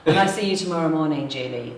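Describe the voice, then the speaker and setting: A woman's voice: sits low, expressive, fast. A woman hosting a casual chat, agreeable and affirming, often echoing what her guest says. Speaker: host and event organiser. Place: echoey indoor room in a hotel. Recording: roomy, distant microphone, faint noise.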